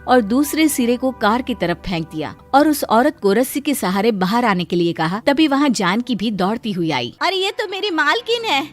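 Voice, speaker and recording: A woman's voice: medium pitch, lively intonation, normal speed, hardly any pauses, soft background music. A woman giving scripted narration of a moral story as the storyteller.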